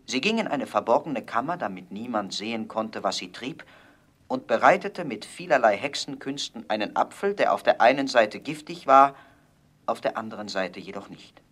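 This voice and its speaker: A man narrating a fairy tale in a scripted audio play with measured storytelling. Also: mid-range voice, animated, a bit bright.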